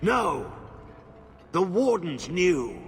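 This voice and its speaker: Half-mad tainted old warden creature: high, creaky, agitated and halting, for a fantasy game. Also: male voice, very animated, heavily acted. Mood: urgent warning. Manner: halting, fragmented, agitated speech. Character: half-mad, blight-tainted old Grey Warden turned ghoul, frantic. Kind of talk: dramatic video game acting. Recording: echoey hall reverb, faint music behind.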